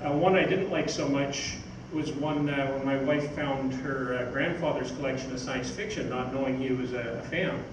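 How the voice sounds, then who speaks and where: An older man giving an informal, halting talk from notes on a stage. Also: mid-range voice, fairly even intonation. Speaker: speaker, magazine editor. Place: theatre stage, indoors. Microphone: stage mic on stand, picked up by distant camera. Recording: roomy, noisy stage recording from far off.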